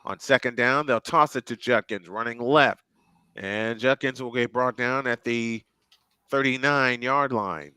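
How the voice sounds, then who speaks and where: A man calls the game play by play, folksy and chatty in between. His voice is bright and smooth. The sound is dry and close to the mic. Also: medium pitch, a little slow, no room sound. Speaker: play-by-play announcer. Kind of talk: fan livestream play-by-play commentary.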